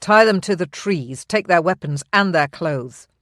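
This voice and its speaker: A narrator voicing a young English knight who speaks in a steady, measured, reassuring way. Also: mid-range voice, no echo, clean studio sound. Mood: commanding. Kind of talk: audiobook character reading.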